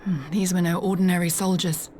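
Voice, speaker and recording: A woman playing a keen-eyed, level-headed female warrior scout in a video game, speaking formally and deliberately. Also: on the low side, steady tone, darkish tone, a little hiss or hum, natural voice. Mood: serious, analytical. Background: faint game music.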